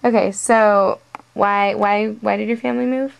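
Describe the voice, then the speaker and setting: Creaky-voiced young woman asking questions. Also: medium pitch, fairly even intonation, measured pace, dry recording, dull audio. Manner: hesitant, casual phrasing with many fillers. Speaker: student interviewer. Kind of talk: informal interview questions.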